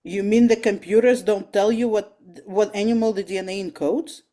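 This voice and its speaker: A woman reads the part of an inquisitive, wary scientist in a drama read-through. The voice is mid-pitched and mellow, putting questions. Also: steady tone, moderate pace, natural voice. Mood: curious. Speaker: amateur actor at a table read. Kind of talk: script read-through acting.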